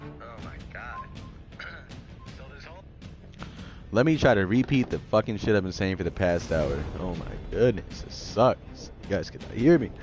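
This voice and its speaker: A man with a low, raspy, hoarse voice rambles loosely and swears while streaming a game. His throat is sore, which roughens every phrase. Game music plays clearly behind him. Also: expressive, normal speed, long pauses, darkish tone. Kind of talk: casual live-stream commentary while playing.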